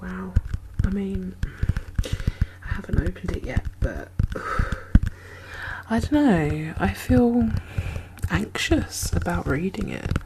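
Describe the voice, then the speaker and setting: A woman playing an anxious, caring zombie apocalypse survivor in a soft-spoken, half-whispered ASMR roleplay, hesitant and musing, with breathy sighs. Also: fairly low pitch, animated, takes it slowly, long pauses, background noise, full character voice.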